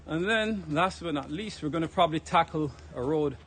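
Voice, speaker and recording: Brief: Jamaican man, slightly high voice, relaxed chatty fitness livestreamer, outdoors on a phone, a little winded. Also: moderate pace, background noise. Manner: chatty, relaxed, talks to viewers while walking uphill. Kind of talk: casual livestream chat while walking. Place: outdoors on a hill road. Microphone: phone held while walking.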